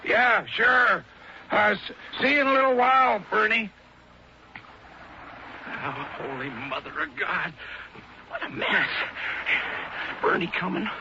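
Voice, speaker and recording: Guilty nervous sailor, stammering, very high pitch, radio play, muffled with loud noise. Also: male voice, slow pace, long pauses. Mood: nervous, evasive. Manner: stammering, nervous, muttering to himself. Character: panicky guilty man alone at sea, scheming and self-pitying. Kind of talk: old-time radio drama acting. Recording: dull radio drama recording, heavy noise.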